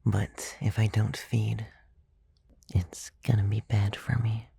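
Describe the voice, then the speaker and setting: A man playing a gentle, clumsy, centuries-old male vampire in an ASMR roleplay, speaking softly and hesitantly, sometimes dropping into a whisper, with teasing intimacy. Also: on the low side, level tone, takes it slowly, gravelly, breathy edge, heavily acted. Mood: pleading. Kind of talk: ASMR roleplay, soft-spoken with whispered stretches. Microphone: close, dry microphone.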